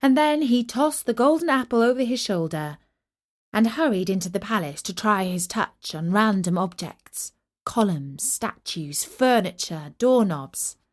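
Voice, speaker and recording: Slow, expressive woman storyteller. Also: mid-range voice. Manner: expressive, carefully phrased. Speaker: storyteller, host. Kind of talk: scripted children's story narration.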